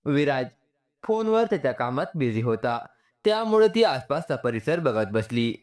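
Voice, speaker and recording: A man's voice: medium pitch, sing-song, moderate pace, a little mellow. Man narrating a sentimental story. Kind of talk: scripted narration of a sentimental story, voicing the dialogue.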